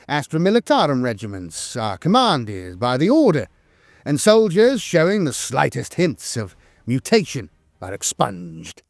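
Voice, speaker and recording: A man with a slightly high voice narrates scripted lore in a formal, measured manner. His pitch moves in lively swings across phrases, with an occasional small hesitation. The sound is dry and close, with little room on it. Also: no echo. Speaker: channel narrator.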